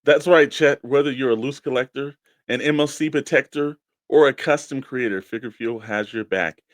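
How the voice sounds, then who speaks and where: Dry, mid-pitched male show co-host. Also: moderate pace, dry recording. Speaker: co-host of a toy collecting radio show. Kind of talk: promotional reads and casual co-host chat.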